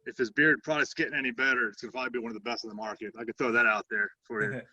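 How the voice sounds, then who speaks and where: A man's voice: medium pitch, flat delivery, rapid-fire, pushed and bright, no echo. Laid-back man on a dull, distant call. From Tennessee. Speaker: podcast guest. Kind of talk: casual chat.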